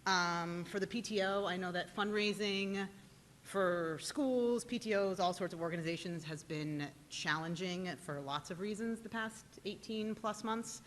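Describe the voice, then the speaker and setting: A woman's voice: medium pitch, measured pace. A woman teacher speaking for the parent group at a board meeting, bubbly, chatty and a little self-conscious. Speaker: teacher and PTO volunteer. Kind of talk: casual presentation at a meeting. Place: town meeting room. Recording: reverberant meeting room with faint noise.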